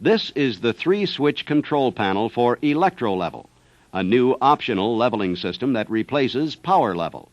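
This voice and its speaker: A man's voice: medium pitch, very animated, moderate pace, no room sound. An American man reads a scripted instructional narration for a service training film in a methodical manner. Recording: dull, dry 1970s training film soundtrack.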